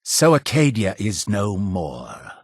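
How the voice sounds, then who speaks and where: A zealous male cult leader for a video game. His delivery swings widely in pitch, grand and deliberate like preaching to the faithful. The voice is solemn and fanatical, recorded dry and close. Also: huge pitch swings, creaky, a little mellow, breathy edge, no room sound, exaggerated voice. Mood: solemn, measured. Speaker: game character voice actor. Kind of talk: theatrical video game dialogue.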